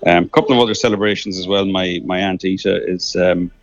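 A man's voice: deep voice, steady tone, quick pace. Irish man on a muffled phone line. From Ireland. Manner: hesitant, many restarts and fillers. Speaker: caller. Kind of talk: casual phone chat.

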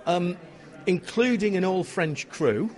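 A man's voice: sits high, animated, takes it slowly, darkish tone. A man hosting a casual interview, eagerly jumping in with questions and facts. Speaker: interviewer host.

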